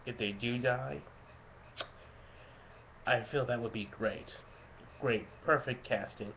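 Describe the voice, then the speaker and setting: A man giving casual, unscripted fan commentary in a halting way, often searching for his words. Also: medium pitch. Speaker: fan video creator. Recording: muffled lo-fi home mic, background noise.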